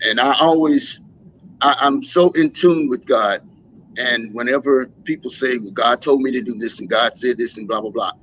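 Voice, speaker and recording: A man in a relaxed interview telling stories with energy, often jumping into voices when he quotes people. His voice sits at a middle pitch. A faint hiss of noise runs behind him. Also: low-quality recording. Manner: animated storyteller, acts out quotes. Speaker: musician guest. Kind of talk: casual interview chat.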